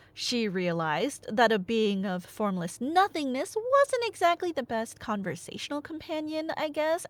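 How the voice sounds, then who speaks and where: Slow, lilting nerdy girlfriend played by a woman. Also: a little high, dry recording. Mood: wry, musing. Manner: wry, hesitant asides, teasing innuendo. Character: nerdy rambling girlfriend playfully retelling Greek myths. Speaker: ASMR audio roleplay performer. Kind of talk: casual conversational storytelling, ASMR roleplay.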